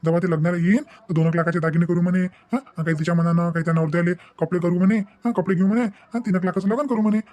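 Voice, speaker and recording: Quick, mellow-voiced, hesitant young husband acted by a man. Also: sits high, steady tone, lightly acted. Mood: doubtful. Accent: Vidarbha Marathi. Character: hesitant, muddled young husband, easily swayed by wife. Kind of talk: cartoon family drama dialogue.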